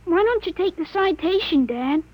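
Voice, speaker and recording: An actress playing a calm, precocious thirteen-year-old boy in an old-time radio drama, speaking in a deliberate, articulate and self-assured way. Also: extremely high pitch. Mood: earnest, self-assured. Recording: dull, lo-fi vintage radio recording.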